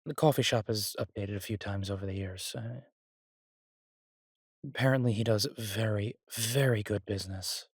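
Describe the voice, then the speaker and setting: A man playing an earnest, easygoing chef in a roleplay audio drama, talking haltingly and reassuringly to a partner. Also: fairly low pitch, husky, no echo, studio quality. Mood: casual, explaining. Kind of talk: roleplay audio drama, conversational monologue to listener. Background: ambient sound effects.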